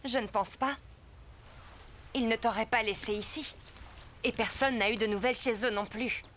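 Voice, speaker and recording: A woman's voice: a little high, steady tone, moderate pace, fairly bright tone, a little hiss or hum, close to an everyday voice. A woman voicing an earnest, determined young hero in a French cartoon dub.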